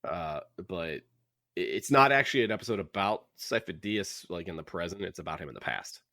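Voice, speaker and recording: Podcast host, male, on the low side, dry close sound, halting and conversational. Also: lively intonation, sharp tone, no room sound. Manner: rambling, halting, thinking aloud. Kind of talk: casual book club podcast chat.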